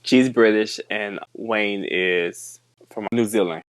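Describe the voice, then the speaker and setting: A woman co-hosting a podcast in casual chat, talking haltingly and trailing off with laughs. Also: very deep voice, rough recording. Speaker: podcast co-host. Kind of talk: casual film-recap chat.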